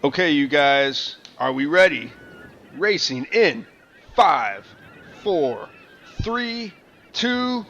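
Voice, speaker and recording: A man's voice: a little high, lively intonation, extremely slow, pauses often, full character voice. An actor playing a blunt, grumbling, sweary castaway man in a comedy radio play. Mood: announcing. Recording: dull, noisy lo-fi home recording.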